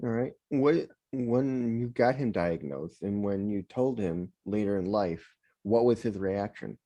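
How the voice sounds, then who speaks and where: A man's voice: on the low side, unhurried, mellow, dull audio. A man hosting a podcast, reading a friendly intro and asking short questions. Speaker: podcast host.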